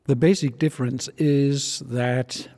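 A man from Denmark, a pastor, answering an interview question in a deliberate, explanatory way. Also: mid-range voice, fairly even intonation, warm tone.

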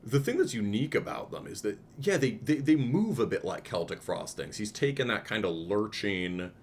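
A man with a very deep voice talks through music in a loose, analytical way. He often stops and restarts his thoughts and fills pauses with "um". A faint rumble runs under the recording. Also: lively intonation. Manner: thoughtful, restarts often, many ums, hums riffs. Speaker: podcast co-host discussing music. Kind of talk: casual analytical conversation.

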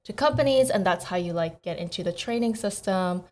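Brief: young woman co-host, mid-pitched, chatty and animated storyteller. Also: a little fast. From Korea, raised in America. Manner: animated storytelling with quoted asides. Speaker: podcast co-host. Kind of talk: casual chat.

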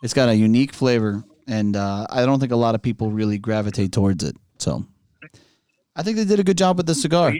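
A man's voice: mid-range voice, expressive, fast, a little mellow, no room sound, studio quality. A man hosting a casual panel chat, blunt and prone to swearing. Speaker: show host.

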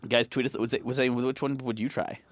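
A man co-hosting a podcast in a relaxed, joking way, cursing casually and laughing along. His voice sits in a middle register, recorded close and dry. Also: mid-range voice, a little fast, no room sound. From Montana, US. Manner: laid-back, swears freely, laughs often. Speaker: podcast co-host.

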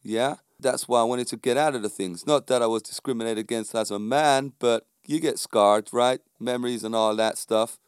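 Clear-voiced middle-aged London man. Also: medium pitch, moderate pace, hardly any pauses, dry recording, studio quality. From London, England. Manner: conversational, emphatic, checks in with rhetorical questions. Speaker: fitness instructor. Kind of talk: informal motivational monologue to viewers.

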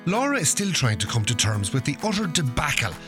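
An actor playing a wry, exasperated radio-station insider narrating a comedy play in an arch tone, with an Irish accent. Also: male voice, medium pitch, very animated, a little fast, over music, natural voice.

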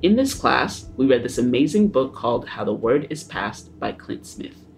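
A man's voice: medium pitch, moderate pace, gravelly, soft background music. Easygoing young man hosting a podcast. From Haiti. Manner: relaxed, with fillers and small laughs. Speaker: podcast host.

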